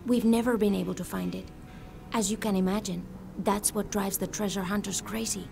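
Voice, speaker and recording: A woman's voice: mid-range voice, steady tone, moderate pace, gravelly, soft background music. An actress playing a confident, wry local woman researcher in video game dialogue.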